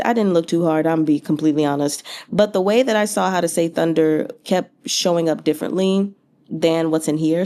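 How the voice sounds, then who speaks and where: Mellow, low-voiced woman chatting casually. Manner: informal, chatty, thinking aloud with slangy asides. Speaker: host of a book read-along channel. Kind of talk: casual rambling book commentary.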